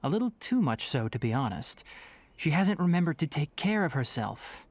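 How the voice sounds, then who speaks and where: An actor playing a calm, scholarly young male forest ranger in a video game, speaking in an articulate, measured way. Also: a little high. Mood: calm, reassuring. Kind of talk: scripted video game dialogue. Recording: music behind the voice.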